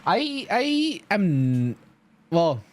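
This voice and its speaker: Animated man chatting on a game stream. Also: sits high, extremely slow. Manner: enthusiastic, slangy, rambling. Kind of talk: casual chat with stream viewers.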